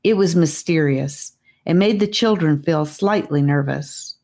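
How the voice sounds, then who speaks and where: A woman narrating a children's fantasy storybook with expressive, deliberate phrasing. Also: low voice. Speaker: audiobook narrator.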